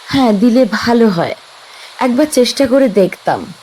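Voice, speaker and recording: A woman's voice: fairly high pitch, animated, moderate pace, a little mellow, a little hiss or hum, close to an everyday voice, rough recording. An actress playing a practical, alert young woman who speaks directly and politely. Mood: polite, concerned. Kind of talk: audio drama acting.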